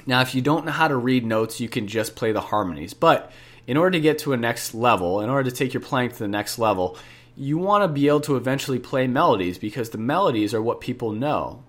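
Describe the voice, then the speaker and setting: A man's voice: medium pitch, animated, fast talker, fairly bright tone. A man hosting a podcast lesson on jazz piano, talking casually with a stuffed-up nose, repeating key points and correcting himself as he goes. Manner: chatty, repeats points for the listener, self-corrects.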